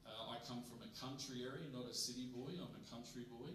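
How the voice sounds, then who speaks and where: A man's voice: mid-range voice, fairly quick, far from the mic, a little hiss or hum. Australian man presenting in an echoey hall. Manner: relaxed, conversational, frequent ums and uhs. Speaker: guest presenter. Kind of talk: conference presentation. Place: large conference hall.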